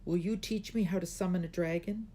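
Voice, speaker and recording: Young storybook princess, played by a male reader: timid, hopeful, raised pitch, some noise. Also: steady tone, brisk, dark tone, natural voice. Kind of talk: children's storybook read aloud.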